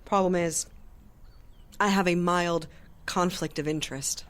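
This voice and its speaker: A woman playing a cool, shrewd former public defender in an audio drama, speaking in a deliberate, wry, persuasive way. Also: lowish voice, steady tone, close to an everyday voice. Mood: sly, persuasive.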